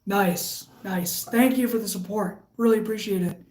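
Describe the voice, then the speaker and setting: A woman's voice: medium pitch, a little hiss or hum. Woman with a Michigan accent, echoey room. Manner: relaxed and halting, with frequent fillers and restarts. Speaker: live stream host. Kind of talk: casual live chat, reading viewer comments.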